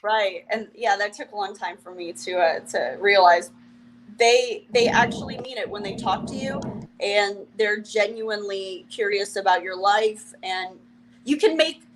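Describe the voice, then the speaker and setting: A woman's voice: mid-range voice, measured pace, a little hiss or hum, rough recording. Chatty Ukrainian-born woman with a Russian accent. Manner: wry, chatty, laughs often. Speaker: comedian guest. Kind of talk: casual interview chat.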